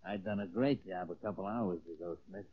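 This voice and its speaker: A dumb-tough mob henchman, husky, slangy bad grammar, muffled old radio. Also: low-pitched, fairly quick, no room sound. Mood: boastful. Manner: slangy, rough, ungrammatical tough talk. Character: crude, blunt gangster henchman. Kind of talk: 1940s radio acting.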